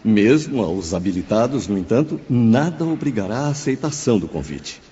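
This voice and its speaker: Casting: male actor as spirit official, dark-toned with wide intonation, formal, authoritative, audiobook drama. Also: fairly low pitch, sing-song, moderate pace. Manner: formal, explanatory. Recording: dull reverberant hall, faint noise.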